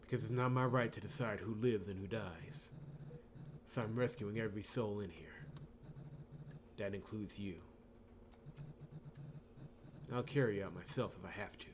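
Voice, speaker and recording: A man's voice: fairly low pitch, moderate pace, natural voice. A man voicing an earnest, stubbornly heroic young amnesiac in a visual novel, emphatic and pleading. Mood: resolute. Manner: emphatic, pleading, swearing when frustrated. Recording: clear background noise.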